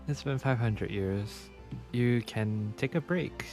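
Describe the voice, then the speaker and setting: A woman with a very deep voice reacts casually as she plays a game. The delivery is low-key, like a streamer's, with faint music behind. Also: lively intonation, takes it slowly. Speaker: streamer, virtual YouTuber. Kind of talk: casual game playthrough commentary.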